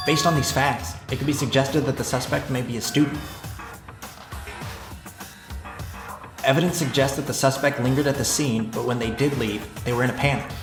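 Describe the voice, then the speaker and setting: A man's voice: medium pitch, natural voice. Man acting an earnest schoolboy detective, roomy sound. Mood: studious. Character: earnest, bewildered teenage schoolboy detective, anxious but determined. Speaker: voice actor in a fan dub. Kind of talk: game dub narration and dialogue.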